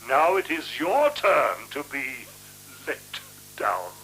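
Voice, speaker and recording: Commanding interrogator, 1970s radio drama; bright, forceful, wildly varied pitch, clipped and formal, humming old recording. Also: male voice, big pitch swings, takes it slowly, slight background noise, heavily acted, low-quality recording. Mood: cold command. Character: menacing, sardonic leader interrogating and condemning a traitor.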